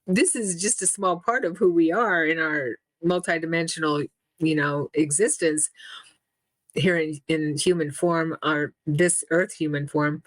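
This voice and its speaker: A middle-aged woman giving an interview, speaking in a halting way with many restarts and fillers. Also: deep voice, steady tone, no room sound. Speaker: interview guest. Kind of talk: casual spoken interview.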